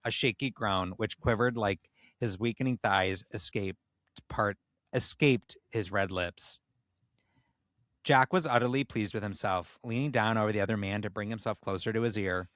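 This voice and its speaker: A man's voice: fairly low pitch, moderate pace, a bit bright, no echo, studio quality. A man co-hosting a comedy podcast, reading a story aloud in dramatic narration. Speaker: podcast co-host. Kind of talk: comedic dramatic reading of erotic fan fiction.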